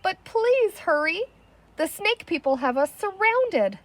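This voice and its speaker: A woman's voice: very high voice, very animated, takes it slowly, dry recording, voice pushed up, heavily acted. A woman reading a children's storybook as a small, wizened, cowardly male creature chief, frantic and whimpering. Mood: desperate pleading.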